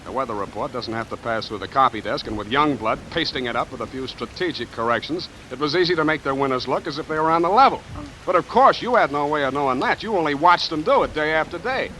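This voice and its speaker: An actor playing a sardonic, wisecracking private detective with clipped, dry delivery in a 1950s radio drama. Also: male voice, on the high side, expressive, quick pace, pushed and bright, poor audio. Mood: sardonic, pressing. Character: hard-boiled, wisecracking private detective, exasperated with his secretary. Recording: hissy vintage radio recording.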